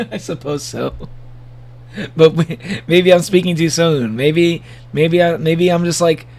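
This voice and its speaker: A man with a gravelly voice giving a casual livestream reaction, rambling and joking playfully as he comments and reads bits aloud. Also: fairly high pitch, slow, a little mellow. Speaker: streamer reacting to horror videos.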